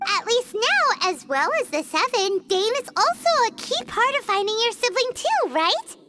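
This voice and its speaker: A woman voicing a small, perky, talkative fairy companion in a video game, with a squeaky childlike voice and exaggerated cute delivery. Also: sing-song, normal speed, heavy background noise. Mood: consoling. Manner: exaggerated cute delivery, talks of self by name. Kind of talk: video game character acting.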